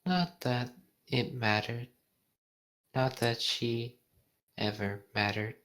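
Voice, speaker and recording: A reader playing a slow, bitter female AI. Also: mid-range voice, steady tone, fairly bright tone. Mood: brooding. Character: bitter female artificial intelligence, brooding and wounded. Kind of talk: dramatic fan fiction reading.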